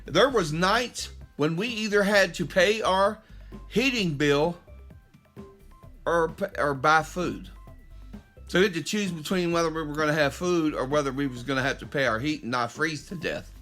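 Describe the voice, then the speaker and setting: A man's voice: fairly high pitch, moderate pace, fairly bright tone, faint music behind. A middle-aged man hosts a podcast, delivering an impassioned, preachy monologue straight at his listeners with frequent restarts. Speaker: podcast host. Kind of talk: opinionated monologue.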